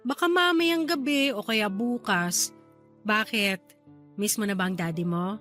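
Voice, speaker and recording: An actress playing a caring, playful aunt raising her niece, in an affectionate, expressive Tagalog radio drama style. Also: medium pitch, a little slow, faint music behind, lightly acted.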